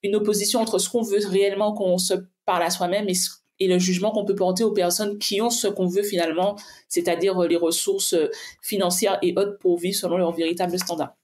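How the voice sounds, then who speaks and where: A woman's voice: medium pitch, level tone, fairly quick, darkish tone. Reflective woman finance coach, talking it through. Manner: reflective, pragmatic, many restarts and fillers while thinking aloud. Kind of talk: casual conversation.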